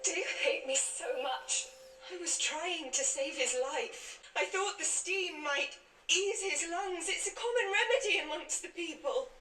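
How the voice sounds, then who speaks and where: A woman's voice: very high-pitched, expressive, a little slow, bright, forceful tone, slight background noise, heavily acted. An actress playing an earnest, pleading young woman in a period television drama, heard as distant TV playback. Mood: pleading, defensive. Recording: distant, echoey TV playback with music.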